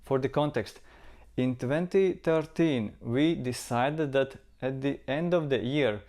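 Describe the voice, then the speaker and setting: A man's voice: medium pitch, takes it slowly, a little mellow. A man from Latvia with a Latvian accent, talking to camera as a travel vlogger in an earnest, reflective way and repeating words for emphasis. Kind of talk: casual talk to camera.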